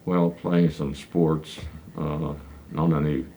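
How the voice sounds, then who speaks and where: A man in his nineties from Pennsylvania, recalling his church childhood in slow, halting answers full of hesitant fillers. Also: rumbling low, little pitch movement, mellow, a little hiss or hum. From Pennsylvania, United States. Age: 90s. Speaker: elder congregant interviewee. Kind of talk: reminiscing interview answers.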